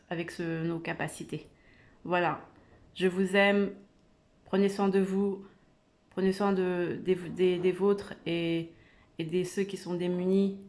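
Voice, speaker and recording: A woman's voice: lowish voice, a little mellow. A woman giving an informal religious talk, earnest and hesitant, with many fillers and restarts. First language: not Arabic. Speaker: religious video creator. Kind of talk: informal spoken religious exhortation.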